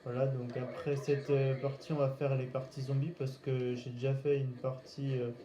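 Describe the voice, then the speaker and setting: A man giving casual gaming commentary in a halting, hesitant way, searching for words. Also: medium pitch, little pitch movement. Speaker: gaming video host. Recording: roomy echo, faint background noise.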